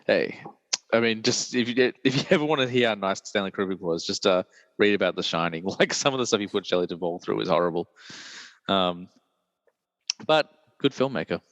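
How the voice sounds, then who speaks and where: A man, hosting a casual film chat in a deep, croaky voice while he recovers from illness, rambling with many fillers. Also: animated, fairly quick, a bit bright, dry recording, in a large hall. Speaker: podcast host.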